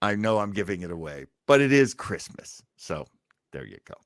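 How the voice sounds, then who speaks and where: Animated man co-hosting, dry close sound. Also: fairly low pitch, moderate pace, no room sound. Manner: animated, rambling, jokey, acts out reactions. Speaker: podcast co-host introducing old radio shows. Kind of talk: casual chat.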